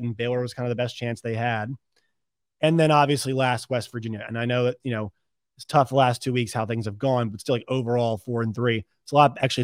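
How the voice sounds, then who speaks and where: A man's voice: mid-range voice, no echo, clean studio sound. A man hosting a sports podcast, giving unscripted commentary in a conversational way, thinking aloud and correcting himself as he goes.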